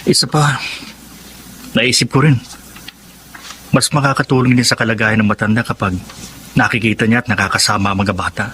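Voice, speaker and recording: A dutiful family man in a radio drama, fairly low-voiced and serious. He speaks in a measured, concerned way with a Filipino accent, over clear ambient noise. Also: fairly even intonation, sharp tone. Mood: worried, measured. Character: dutiful, worried husband and son, father of children. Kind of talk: Tagalog radio drama acting.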